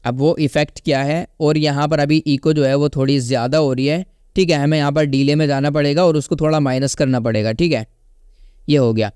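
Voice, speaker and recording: A man's voice: fairly high pitch, steady tone, a little fast, a little mellow, clean tone, dry recording, clean studio sound. A man with an Indian accent giving a casual, instructional step-by-step app tutorial, often checking in with listeners. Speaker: tutorial host. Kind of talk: casual step-by-step app tutorial, Hindi mixed with English words.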